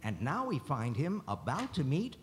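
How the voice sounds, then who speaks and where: A man's voice: mid-range voice, wildly swooping pitch, normal speed. A man narrating a fairy-tale radio play as a scripted storyteller.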